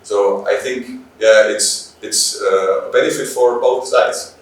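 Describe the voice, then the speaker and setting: A man with a German accent gives interview answers in English as a native German speaker. He pauses and repeats phrases while searching for words. The room echoes heavily and the microphone sounds some way off. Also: fairly low pitch, steady tone, far from the mic. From Bavaria, Germany. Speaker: police trainer, interview guest.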